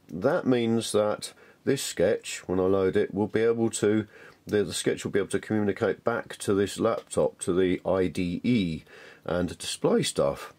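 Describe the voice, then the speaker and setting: Low-voiced man, enthusiastic DIY demonstrator. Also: darkish tone. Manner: enthusiastic, chatty. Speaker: DIY tutorial presenter. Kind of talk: informal hands-on demonstration, thinking aloud.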